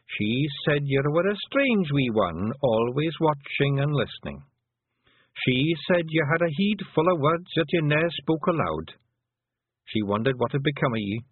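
A man's voice: fairly high pitch, expressive, measured pace, breathy edge, no echo, voice pushed up. A man voicing a tiny, dying old fairy clan mother with a homely Scottish brogue, fond and wry. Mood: weary. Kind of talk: audiobook character voice. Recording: muffled phone-like dry recording.

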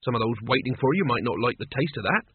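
A man's voice: medium pitch, expressive, fast, husky. Man doing an irritable, menacing young apprentice. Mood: cool menace. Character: irritable young male apprentice of Death, commanding. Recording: muffled, echoey, phone-like radio sound.

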